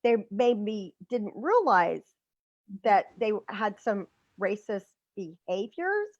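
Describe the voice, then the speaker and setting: A woman from Central Texas who hosts the interview, talking and asking questions in a relaxed way over a video call. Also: mid-range voice, slow pace, no echo, highs cut off. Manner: talkative, asks questions. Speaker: host. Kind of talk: casual interview chat.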